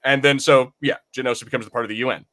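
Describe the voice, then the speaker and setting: A man's voice: medium pitch, steady tone, racing, fairly bright tone. A man co-hosting a podcast in casual fan review chat, rambling and swearing freely. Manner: profane, rambling. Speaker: podcast co-host.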